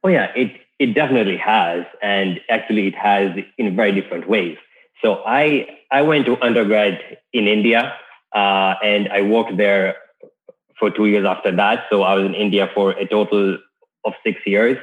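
A man's voice: fairly low pitch, normal speed. Easygoing man on a tinny line. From Kenya. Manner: easygoing, with frequent restarts. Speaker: guest. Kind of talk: casual podcast interview.

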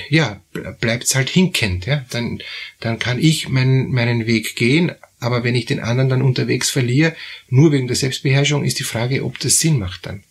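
A man hosting an advice podcast, talking in a halting way with many fillers and repeated words. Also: mid-range voice, breathy edge. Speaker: therapist host. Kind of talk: advisory podcast talk.

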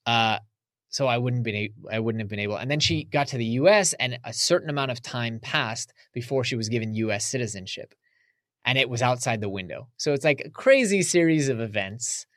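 A man's voice: medium pitch, animated, a little fast, fairly bright tone, no room sound. A man from the United States, a podcast guest, talking casually and reflectively in an interview.

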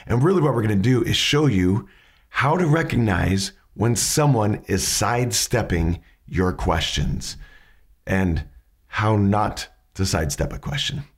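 A low-pitched man with a gravelly, husky voice, raspy from hay fever. He walks through his points methodically in a relaxed teaching tone, often restarting a sentence mid-way. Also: unhurried. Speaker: podcast host teaching questioning. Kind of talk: casual explanatory lesson.

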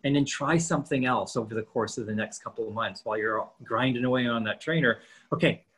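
Husky-voiced man in his fifties. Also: medium pitch, quick pace. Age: 50s. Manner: animated, explains with rhetorical questions and "right?". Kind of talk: casual podcast chat.

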